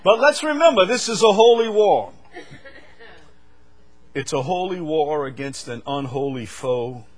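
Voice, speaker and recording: An older man from the United States preaching a sermon, swinging from loud, fervent stretches to quiet asides. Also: fairly high pitch, animated, a little slow, pauses often.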